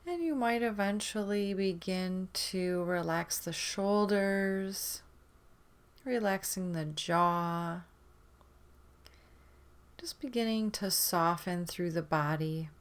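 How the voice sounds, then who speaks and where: A woman leading a guided meditation in a gentle, soothing manner, leaving long pauses between phrases. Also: mid-range voice, smooth.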